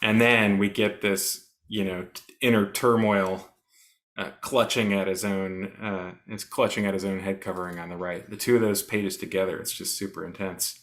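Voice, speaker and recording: Man, co-host, fairly low voice, thoughtful, hesitant fillers, casual art talk. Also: level tone, moderate pace, a bit bright. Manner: thoughtful, searching for words with frequent uh fillers.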